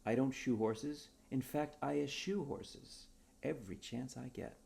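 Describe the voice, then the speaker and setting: A man's voice: medium pitch, a little slow, creaky, mellow, voice pushed up. A man voicing an eager, whimsical wordsmith in a storybook tale, talkative and theatrical like a salesman. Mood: sly, interested. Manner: theatrical, earnest salesman patter.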